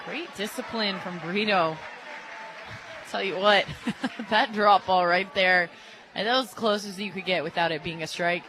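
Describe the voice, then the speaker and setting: Bright, chatty female radio analyst. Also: medium pitch, measured pace, some chatter behind, a little hiss or hum, poor audio. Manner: chatty, laughs often. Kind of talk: live sports color commentary. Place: stadium radio booth.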